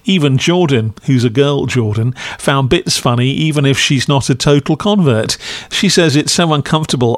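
A man's voice: medium pitch, no echo. A man hosts a podcast, reading a scripted review in a chatty, opinionated way with wry asides. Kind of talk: scripted review narration, conversational.

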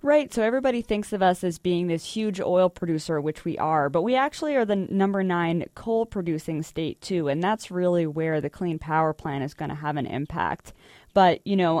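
A woman's voice: lowish voice, dry recording, studio quality. An American woman reporter explaining the news in a conversational interview style. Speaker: energy reporter.

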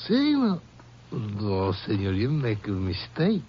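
A man's voice: lowish voice, huge pitch swings, slow pace, heavily acted. An actor playing a shifty, defensive Mexican man in a 1950s radio western, speaking hesitant broken English with a Mexican accent. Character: shifty, sleepy Mexican man protesting innocence nervously. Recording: muffled, lightly noisy 1950s radio recording.

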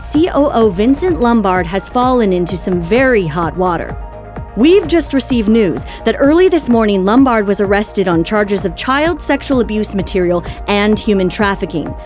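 A woman playing a brisk, polished morning news anchor, reading a scripted newscast in an audio drama. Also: on the high side, darkish tone, natural voice.

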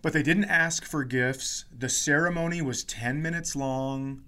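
A man's voice: medium pitch, a little slow, pushed and bright. A man who hosts a podcast as a comedian, telling stories casually with swearing, mock indignation and quoted dialogue.

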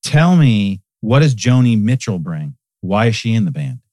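A man's voice: on the low side, sing-song, normal speed, warm tone, no room sound. A man hosting an interview, effusive and eager, often finishing his guest's sentences. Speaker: interview host. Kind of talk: casual interview chat. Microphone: studio microphone.

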